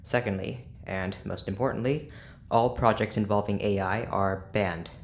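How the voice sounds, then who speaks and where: An actor playing an exacting, disdainful scientist who dictates project notes in a dry, formal, condescending way for an audio drama. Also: male voice, fairly low pitch, steady tone, moderate pace, vocal fry, slight background noise. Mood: cold disdain.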